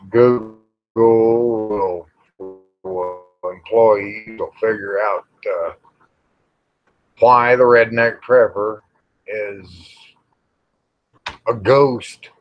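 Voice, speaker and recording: Slow, folksy man, muffled audio. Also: on the low side, no echo. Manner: folksy, grumbling, drawn-out with pauses. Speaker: livestream host. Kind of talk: rambling casual livestream chat with viewers. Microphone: small microphone near the face. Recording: dull, muffled livestream audio.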